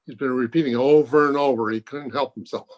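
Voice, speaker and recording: A man playing an authoritative, thoughtful hospital doctor in an old-time radio mystery, with measured, declamatory delivery. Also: medium pitch, fairly even intonation, darkish tone, muffled recording, natural voice. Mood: thoughtful, grave. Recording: home video-call recording.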